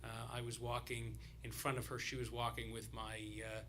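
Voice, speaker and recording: A man speaking as a rabbi, telling a loose, rambling story during a service with many pauses and restarts. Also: medium pitch, little pitch movement, a bit bright, slight background noise.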